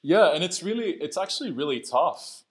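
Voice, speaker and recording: A man's voice: on the high side, lively intonation, moderate pace. A man from Sweden with a mid-Atlantic accent that has hints of Swedish, talking thoughtfully as a podcast guest and often repeating his first words. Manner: thoughtful, repeats words when starting sentences. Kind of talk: reflective conversational interview.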